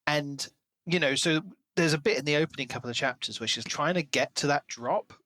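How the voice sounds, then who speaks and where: A man's voice: on the high side, brisk, sharp tone, dry recording. A man co-hosting a podcast, discussing books in a casual, conversational way. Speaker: podcast co-host.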